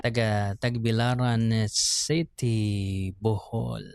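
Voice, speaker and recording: A man with a Visayan Filipino accent hosting a radio show, reading a listener's letter informally in a halting, teasing way. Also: on the low side, fairly even intonation, vocal fry. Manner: halting, drawn-out delivery with teasing interjections. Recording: loud music bed under voice. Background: music.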